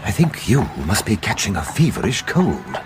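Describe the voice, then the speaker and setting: An actor in a radio play as a sensible, sceptical English gentleman, a polite but exasperated companion. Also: lowish voice, huge pitch swings, moderate pace, over music. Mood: reasonable, embarrassed. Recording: noisy old radio play recording.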